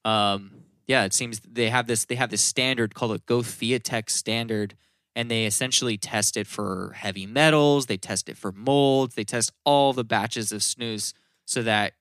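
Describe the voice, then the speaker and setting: A man's voice: medium pitch, normal speed, fairly bright tone, smooth, no echo, clean studio sound. A man in casual podcast chat, explaining things in a rambling, informal way with some swearing.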